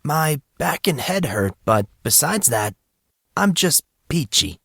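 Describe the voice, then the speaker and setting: A man's voice: on the high side, very animated, slow pace, vocal fry, fairly bright tone, husky, no room sound, studio quality, voice pushed up, exaggerated voice. A man voicing an embarrassed teenage boy, awkward and dryly sarcastic, in an audiobook story.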